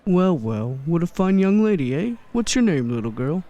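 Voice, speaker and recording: A man's voice: fairly high pitch, sing-song, moderate pace, warm tone, dull audio. An actor playing a jolly, kindly elderly Santa Claus in a radio play, genial and grandfatherly.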